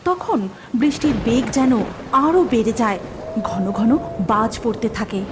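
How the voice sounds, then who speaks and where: A woman's voice: a little high, expressive, unhurried, noisy background. A woman narrator reading a scripted dramatic story. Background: music.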